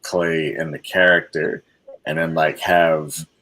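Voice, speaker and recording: A man's voice: a bass voice, flat delivery, slow pace, pushed and bright. A man in a casual chat, laid-back and loosely brainstorming ideas.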